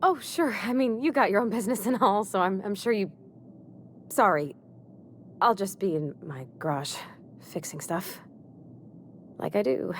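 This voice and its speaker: An actress playing a shy, eager, deferential young mechanic in a video game, with a hesitant, folksy, stammering manner. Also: female voice, medium pitch, animated, moderate pace, long pauses, a little hiss or hum, lightly acted. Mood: bashful. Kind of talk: video game character acting.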